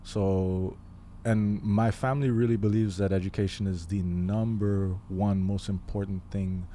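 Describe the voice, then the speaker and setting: Haitian-born guest in his 20s, deep mellow voice, measured and halting, close studio mic with faint room hiss. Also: steady tone. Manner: thoughtful, pauses often with fillers. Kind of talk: casual interview. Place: living room podcast set. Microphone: studio mic on boom arm, headphones.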